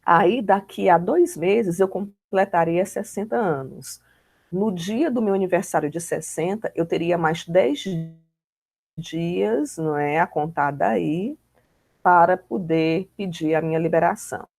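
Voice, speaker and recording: A Brazilian woman lectures in a didactic but conversational manner, with frequent tag questions. Her voice is mellow and dark, with a gravelly creak running through it. The sound is close and dry. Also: on the low side, unhurried, no room sound. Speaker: law lecturer. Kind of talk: informal online lecture explaining with examples.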